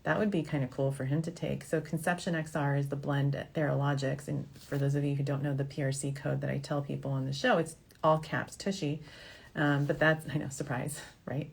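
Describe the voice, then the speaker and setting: A woman's voice: deep voice, level tone, fairly quick, vocal fry, a little mellow, breathy edge. A woman doctor hosting an informal question-and-answer show, speaking in a frank, conversational and reassuring way. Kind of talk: informal advice talk, answering viewer questions.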